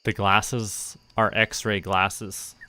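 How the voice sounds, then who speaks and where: A young man streaming a relaxed game, talking to himself and counting under his breath as he plans. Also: lowish voice, extremely slow, smooth, no echo. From Canada. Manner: muttering, counting to himself while planning. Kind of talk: relaxed gameplay commentary.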